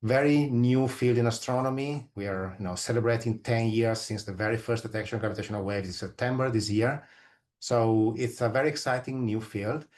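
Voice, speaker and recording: A man's voice: medium pitch, a little fast, darkish tone. A middle-aged man from Italy with an Italian accent, explaining things informally as an interview guest. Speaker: interview guest, physicist and astronomer.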